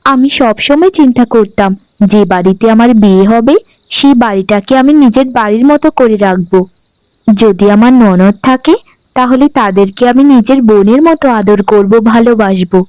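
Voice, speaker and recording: A woman voicing a gentle, dutiful young new bride in a cartoon, speaking meekly and earnestly. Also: fairly high pitch, normal speed, no echo, natural voice, low-quality recording. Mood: worried. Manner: meek, earnest, conciliatory. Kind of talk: Bengali cartoon dialogue acting.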